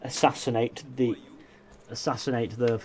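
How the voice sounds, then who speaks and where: A man's voice: medium pitch, flat delivery, moderate pace, darkish tone. A young man, chatting casually and answering chat questions while playing a game live. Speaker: gaming livestreamer.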